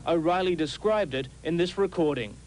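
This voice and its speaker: A man's voice: a little high, normal speed, darkish tone, low-quality recording. A man with an Australian accent narrating a scripted television news documentary as a reporter. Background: music.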